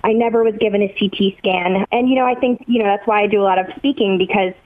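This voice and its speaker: A woman in her twenties with an American accent, telling personal stories in a chatty, animated, lightly self-deprecating way as an interview guest. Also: mid-range voice, fast talker. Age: 20s. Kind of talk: casual personal storytelling. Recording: thin phone-like call audio.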